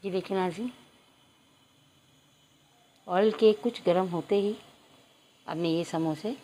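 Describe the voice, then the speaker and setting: A middle-aged woman with an Urdu accent calmly explaining a recipe step by step to viewers in a home kitchen. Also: on the low side, slow. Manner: calm, instructive, addresses viewers as nazreen. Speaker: cooking channel host. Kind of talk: step-by-step cooking instructions.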